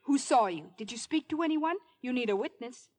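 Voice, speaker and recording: An actress playing an outspoken, excitable young sister in a 1950s radio drama, blunt, eager and inquisitive. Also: female voice, high-pitched, brisk. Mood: blunt, insistent.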